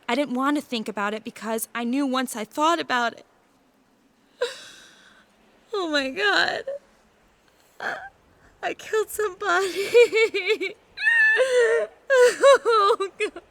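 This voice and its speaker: A woman playing an emotional teenage girl in a fantasy audio drama, speaking haltingly. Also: a little high, fairly bright tone. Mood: sobbing, horrified. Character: Jen, distraught teenage girl shaken by having killed.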